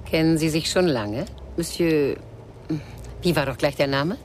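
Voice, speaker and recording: A woman's voice: sits low, a little slow, a little mellow, slight background noise. An actress playing a shrewd middle-aged mother in a radio crime drama, chatty and probing with a sardonic edge.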